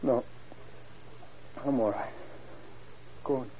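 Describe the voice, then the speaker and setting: An actor playing a gentle, badly wounded man, weak and short of breath, in an old radio drama. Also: mid-range voice, takes it slowly, natural voice. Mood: weak, tender. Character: gentle, badly wounded young sweetheart, fading. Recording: muffled, noisy old radio recording.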